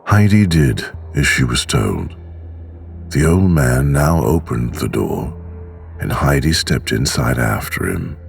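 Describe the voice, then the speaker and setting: Narrator, man: slow soothing bedtime reader, lively intonation, faint music bed. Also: very deep voice, gravelly, slight background noise. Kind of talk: bedtime story reading. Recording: soft music underneath.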